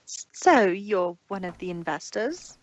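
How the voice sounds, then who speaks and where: A woman's voice: mid-range voice, expressive, slow pace, pitched above the performer's own voice, rough recording. A woman voicing an elegant adult female pony fashion designer with an airy, rambling, theatrical manner in a story reading. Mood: chatty, breezy.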